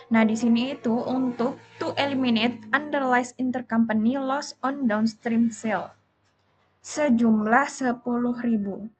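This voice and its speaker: A young woman with an Indonesian accent presents as a student, explaining to a class in a moderately high voice. The recording is slightly muffled and lo-fi, with a light layer of noise. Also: a little mellow. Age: young adult. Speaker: university accounting student presenter. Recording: muffled lo-fi audio, faint background noise.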